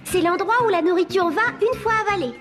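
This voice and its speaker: A woman voicing a bright, studious schoolchild in a French cartoon dub, explaining facts eagerly. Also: very high-pitched, fast talker. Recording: music playing behind the voice.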